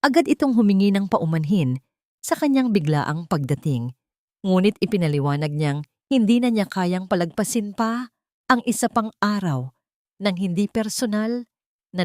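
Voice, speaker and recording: A woman narrating a scripted dramatic story in a measured, solemn storyteller's delivery. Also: fairly low pitch, a little mellow, no room sound, studio quality.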